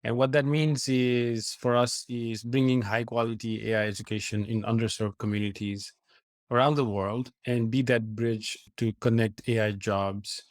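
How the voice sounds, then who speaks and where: A man in his forties from Nepal answers interview questions earnestly, explaining at length and sometimes restarting his sentences. His voice sits in a middle pitch. The sound is dry and close, with no room echo. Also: level tone, normal speed. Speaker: guest, AI company founder.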